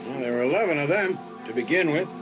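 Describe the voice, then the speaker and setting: A man playing a steady police sergeant on night patrol in a dramatised audiobook. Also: fairly high pitch, fast, lightly acted. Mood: uneasy. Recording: dull sound with faint music.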